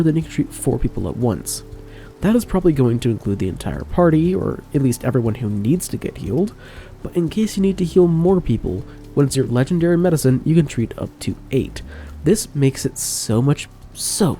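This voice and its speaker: A man's voice: medium pitch, warm tone. A man with a head cold and a nasal, congested voice, explaining tabletop game rules in an enthusiastic voice-over. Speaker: game guide creator. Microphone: new microphone.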